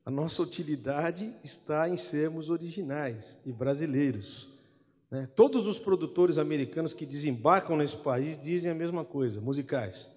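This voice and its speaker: A man's voice: a little high, normal speed, a little mellow. A middle-aged man from Brazil with a Brazilian accent, a gospel singer and guitarist talking in a chatty, wry way between songs and tagging his lines with a questioning "né?". He speaks into a stand mic on a church hall stage. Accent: Brazilian Portuguese. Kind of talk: relaxed spoken interlude between songs at a church concert. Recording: long hall reverb with music behind.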